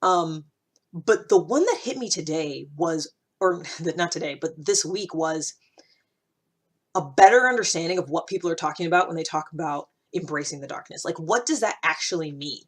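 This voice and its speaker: A woman's voice: low voice, animated, normal speed. A woman host talking through ideas in a casual, reflective monologue, conversational and emphatic, repeating phrases to drive them home. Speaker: host of a personal development podcast.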